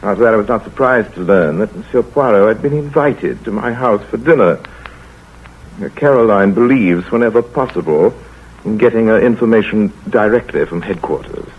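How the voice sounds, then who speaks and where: An actor playing a dry, measured village doctor who narrates the story, in a 1940s radio play. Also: male voice, fairly low pitch, slightly rough. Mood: narrating. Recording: tinny old radio broadcast.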